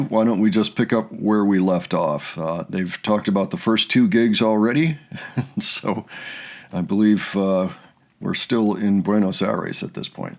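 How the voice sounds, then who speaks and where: Low, gravelly man hosting a reaction channel. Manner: relaxed, chatty asides. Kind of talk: casual reaction commentary.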